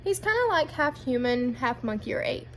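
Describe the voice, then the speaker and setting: Female picture-book reader, high lively voice, asks kids guiding questions, light background noise. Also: moderate pace. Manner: addresses children with guiding questions. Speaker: read-aloud storyteller. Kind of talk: animated children's picture book narration.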